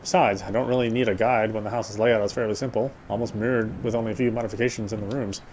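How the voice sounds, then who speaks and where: A man's voice: fairly low pitch, steady tone, quick pace, a little mellow. A man reading a horror visual novel aloud as playthrough host, brooding and occasionally stumbling over words. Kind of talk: dramatic read-aloud narration of a horror game. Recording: clear background noise.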